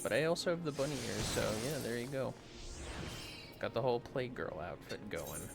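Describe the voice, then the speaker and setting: Casting: man, casual game stream host, hushed and rambling, fry in the voice, clear music underneath. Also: medium pitch, very animated, brisk. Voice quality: soft, hushed. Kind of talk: casual gameplay commentary.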